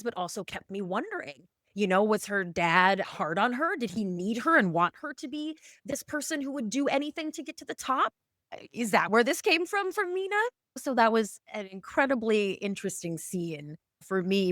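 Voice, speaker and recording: Woman, podcast host. Slightly high pitch, casual discussion style, no room echo at all. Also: fairly bright tone, clean studio sound. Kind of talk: casual discussion of a drama episode.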